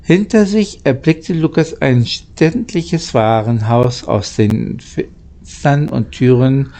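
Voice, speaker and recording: A man reading a children's story aloud as an amateur narrator, haltingly, stumbling and rereading phrases. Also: medium pitch, dark tone.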